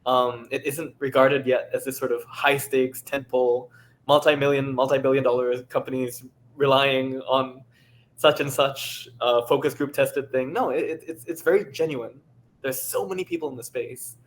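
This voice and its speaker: A man's voice: medium pitch, fairly even intonation, moderate pace. A man giving a thoughtful, articulate answer as a panelist, now and then interrupting himself to rephrase. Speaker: panelist, audio drama creator. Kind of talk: unscripted panel discussion.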